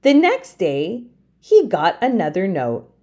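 A woman hosting a children's storytime, reading aloud expressively with deliberate, emphatic phrasing. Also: medium pitch, huge pitch swings, mellow. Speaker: children's storytime host and reader.

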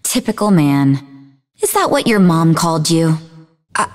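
An actress playing a sarcastic, defensive young woman in a video game, speaking in an expressive, conversational way. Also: sits low, measured pace, in a large hall. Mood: guarded. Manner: snarky, conversational, emotionally expressive. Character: sarcastic, defensive young woman, idealistic martial-arts student and researcher. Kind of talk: video game character dialogue.

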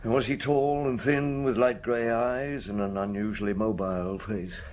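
A man playing a measured, probing but sympathetic police detective in a radio drama, deliberate and understated. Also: mid-range voice, steady tone, darkish tone, slight background noise, close to an everyday voice.

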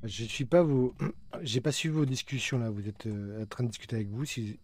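A man's voice: medium pitch, fairly quick, darkish tone. A man streaming a horror game, chanting the same questions at ghosts over and over and talking to his viewers now and then. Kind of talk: live gameplay streaming.

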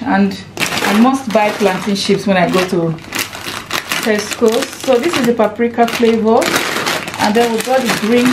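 A woman's voice: a little high, background noise. A woman and mum chatting casually to viewers as she walks around a table of shopping at home, counting items aloud and naming prices. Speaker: lifestyle vlogger and mum. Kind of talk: casual grocery haul narration. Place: home kitchen, indoors.

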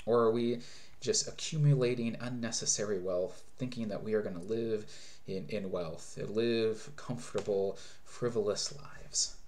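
A man's voice: lowish voice, fairly even intonation, measured pace, a little mellow. A man in his thirties, a pastor, preaching an earnest sermon from a small room at home into a webcam, often restarting phrases as he explains. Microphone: webcam at desk distance.

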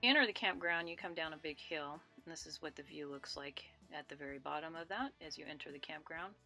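A woman giving a scripted voice-over as a travel vlogger, with a clear, informative delivery. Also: low voice, quick pace, music in the background.

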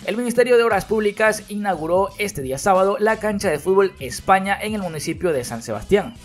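A man's voice: high voice, lively intonation. Upbeat man reading sports news. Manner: brisk, newsy delivery with direct calls to viewers. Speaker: news host of a football channel. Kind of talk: read-out sports news narration. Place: voice-over, not recorded at the pictured fields. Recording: voice-over with faint background music. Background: background music.

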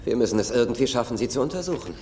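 A man acting in a film dub as a smooth-talking, ruthless villain, persuasive and controlled. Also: medium pitch, animated, rapid-fire, a little mellow, slight background noise, close to an everyday voice. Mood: intrigued. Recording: echoey space under film music.

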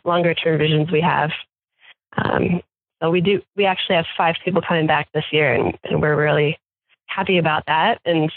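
A woman's voice: low voice, no echo. A woman farm manager talking casually in an interview, chatty with fillers and restarts. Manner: chatty, many fillers and restarts, laughs often. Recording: tinny phone line, dry.

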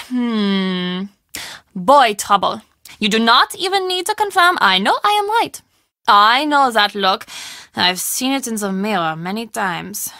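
An actress playing a friendly, superstitious castle shopkeeper in a video game, confiding and expressive. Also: female voice, on the high side, no echo, clean studio sound, full character voice.

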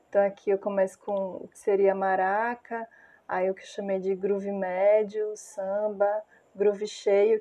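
A Brazilian woman in her thirties with a Brazilian Portuguese accent, a music producer calmly explaining how she built a track, recorded on an earphone mic in a small room. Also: mid-range voice. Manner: calm, measured, unhurried. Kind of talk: casual explainer of a music project.

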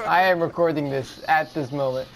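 A man's voice: a little high, moderate pace, vocal fry, crowd noise, rough recording. A man recording his gaming session, joking around and swearing with friends in casual voice chat. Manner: joking, goofy bits, swearing. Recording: voice chat over loud game audio. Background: gunfire and battle sounds from the game.

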